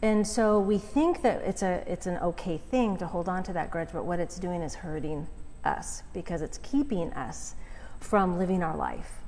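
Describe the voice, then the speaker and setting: A woman's voice: fairly low pitch, moderate pace, darkish tone. Outgoing lady coach explaining stuff in an interview, big echoey hall, some background noise. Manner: outgoing, often checks in with "right?". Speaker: forgiveness coach, interview guest. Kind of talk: conversational explaining.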